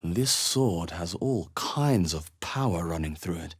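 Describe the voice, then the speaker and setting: An actor playing a wry, watchful adventurer hero in a fantasy video game, with a husky voice and a dry, theatrical delivery. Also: male voice, low voice, animated, unhurried, heavily acted. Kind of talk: fantasy game character voice lines, short exclamations and remarks.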